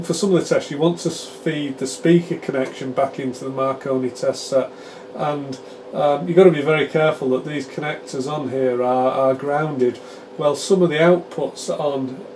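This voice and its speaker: A British man gives an informal hands-on technical explanation as a presenter, chatty and halting as he explains things. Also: medium pitch, dark tone, slight background noise, low-quality recording. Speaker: presenter demonstrating radio repair equipment.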